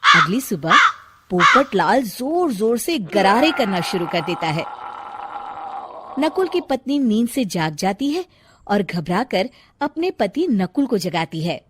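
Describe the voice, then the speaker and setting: A woman's voice: medium pitch, sing-song, slow, fairly bright tone, slight background noise. A woman narrating a scripted comic story as a storyteller.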